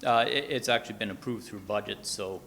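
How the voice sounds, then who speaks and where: A man speaking as a municipal administrator at a formal council meeting, halting and procedural as he reports. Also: on the low side, vocal fry, bright, forceful tone. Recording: reverberant meeting room, background noise.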